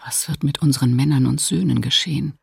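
An actress playing a frail but defiant woman in her fifties, reading a diary in a radio docudrama in a breathy, half-whispered voice. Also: extremely low pitch, steady tone, brisk, dry recording, studio quality, exaggerated voice. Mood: fearful, defiant. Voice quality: breathy, husky, creaky.

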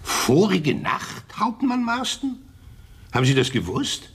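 A man playing a stern, sceptical gentleman questioning subordinates pointedly in a German radio play. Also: high-pitched, huge pitch swings, takes it slowly, breathy edge, full character voice.

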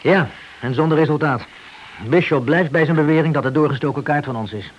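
An actor playing an eager, easygoing junior police officer in a radio drama, informal and obliging. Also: male voice, a little high, fairly quick, close to an everyday voice. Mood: matter-of-fact. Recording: dull, noisy old radio play recording.

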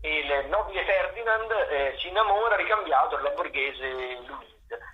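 A man presenting theatre listings as a radio contributor, improvising and pausing to search for words. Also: a little high, expressive. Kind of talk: semi-improvised spoken review of listings. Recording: narrow tinny phone line, noisy.

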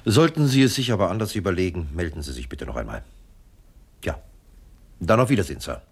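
An actor playing a formal, shrewd police sergeant in a German radio crime comedy, speaking in a measured, polite official manner. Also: male voice, low voice, very animated, vocal fry, husky, full character voice. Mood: formal, reserved. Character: fake police sergeant, composed, formal, shrewd conman accomplice.

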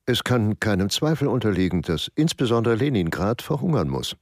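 A cold Nazi official who proclaims his war aims like a speech. His voice swings up and down with lively emphasis. The recording is dry and close. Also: on the low side, brisk, dry recording. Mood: declaiming. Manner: declaiming, oratorical. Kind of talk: documentary radio drama reading.

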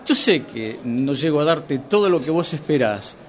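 Man playing a hapless Argentine boyfriend, animated pitch. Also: normal speed, natural voice. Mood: frightened, protesting. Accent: Rioplatense Argentine. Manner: sheepish, hesitant, whiny. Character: hapless, hungry, wavering boyfriend afraid of his girlfriend. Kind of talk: radio drama comedy acting.

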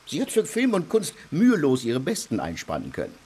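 An actor playing a pedantic, self-assured legal theorist in a radio drama, lecturing emphatically. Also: male voice, mid-range voice, wildly swooping pitch, brisk, a little mellow, breathy edge, exaggerated voice. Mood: pompous, assured. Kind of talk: German radio drama acting.

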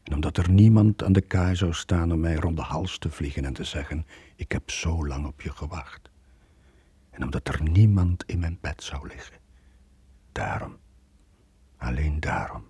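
An actor playing a bitter, lonely middle-aged sailor in a radio drama, brooding and confessional. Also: male voice, a bass voice, normal speed, pauses often, dark tone, husky, no echo, exaggerated voice. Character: bitter, lonely long-serving merchant sailor Joon. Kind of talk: 1980 radio drama acting.

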